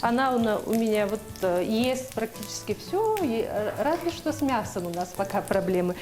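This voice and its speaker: A woman's voice: fairly high pitch, lively intonation, moderate pace, a little mellow. A young woman from Yakutia, a guest on a TV cooking show, chatting casually and a little hesitantly with many fillers. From Yakutia, Russia. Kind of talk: casual chat while cooking. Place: TV studio kitchen. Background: music.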